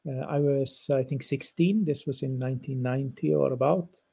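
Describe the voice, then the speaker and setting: A man around fifty from Finland, whose first language is Swedish, answers interview questions in a thoughtful, halting way with frequent restarts. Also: mid-range voice, steady tone, creaky, mellow, breathy edge. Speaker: guest lecturer.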